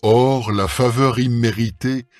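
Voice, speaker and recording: A man reading scripture aloud as a solemn scripted dramatised Bible recording, with measured, deliberate phrasing. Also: medium pitch, steady tone, a little mellow, no echo, studio quality. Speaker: scripture reader. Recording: music under the voice. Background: background music.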